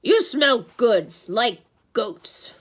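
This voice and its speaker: A woman's voice: fairly high pitch, lively intonation, very slow, breathy edge, full character voice. A woman voicing a huge, half-blind male Cyclops who bellows and grumbles his demands in a storybook. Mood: demanding.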